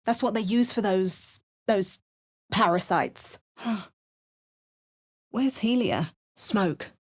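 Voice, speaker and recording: Female game adventurer, dry understated remarks, resolute, mid-pitched voice. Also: lively intonation, takes it slowly. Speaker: player character. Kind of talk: video game voice lines and narration.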